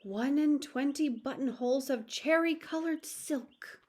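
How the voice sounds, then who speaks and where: A woman's voice: sits high, unhurried, a little mellow, voice pushed up. A woman voicing a poor, fretful old male tailor in a storybook, lamenting in dramatic old-fashioned words. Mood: worried muttering.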